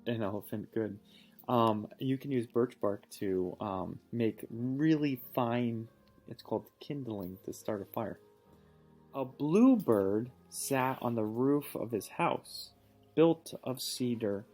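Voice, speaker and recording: Male read-aloud teacher, mid-pitched, patient and encouraging, faint music underneath, stops to question the listener. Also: takes it slowly, a little mellow. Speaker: reading teacher or parent reading aloud.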